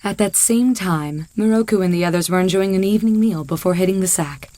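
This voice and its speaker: A woman's voice: medium pitch, fairly quick, mellow. A woman narrator reading a scripted story as part of an audio drama.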